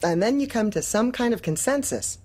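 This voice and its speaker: An actress playing a heartless, manipulative office manager in a dark comedy audio drama, deliberate and probing with polite menace. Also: female voice, a little high, animated.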